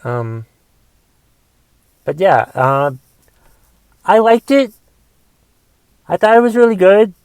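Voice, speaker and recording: A man in his forties with a raspy voice from a cough, casually rambling through a movie review on his podcast and thinking aloud with lots of fillers. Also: high-pitched, big pitch swings, slow, long pauses, a little mellow, no echo. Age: 40s. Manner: thinks aloud, musing questions, trailing fillers. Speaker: podcast host.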